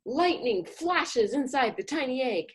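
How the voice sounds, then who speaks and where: High, expressive woman with wry delivery. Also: measured pace. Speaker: comedy troupe performer, narrator. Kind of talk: scripted comedy narration.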